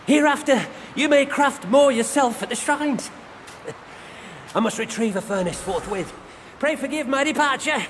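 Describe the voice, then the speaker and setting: A man playing an excitable, eccentric Taoist alchemist in a video game, giggly and exclamatory with archaic phrasing. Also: very high voice, a little slow, background noise. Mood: gleeful.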